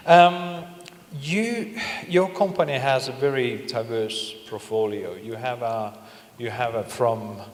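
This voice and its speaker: A middle-aged man moderating a panel, asking questions in a halting way with non-native phrasing. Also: medium pitch. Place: large indoor conference stage. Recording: echoey, reverberant conference hall.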